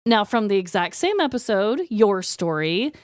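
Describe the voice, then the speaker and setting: A woman hosting a podcast in casual comedic banter, with an expressive, irreverent way of talking. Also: medium pitch, moderate pace, no room sound, studio quality. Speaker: podcast host. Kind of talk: casual comedic banter, presenting joke awards.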